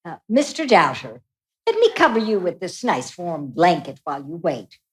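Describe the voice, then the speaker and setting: A woman's voice: lowish voice, sing-song, normal speed. A woman playing an officious, firm medical receptionist in a live radio play. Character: officious, bossy female receptionist.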